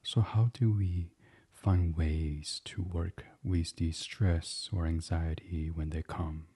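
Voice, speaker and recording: A man guiding a bedtime meditation as a podcast host, speaking softly and soothingly in an unhurried, hushed, breathy way. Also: sits low, fairly even intonation. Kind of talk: guided meditation, gentle bedtime reading.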